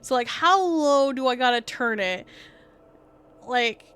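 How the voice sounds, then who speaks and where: A woman VTuber chatting casually while she streams a game, with a soft, small voice. She rambles, says "like" constantly and swears offhandedly, sitting very close to her mic at home. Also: high voice, expressive, slow, smooth. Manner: rambling, fills every phrase with "like", swears casually. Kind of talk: casual gameplay stream chat. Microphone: desk mic placed very close to the face.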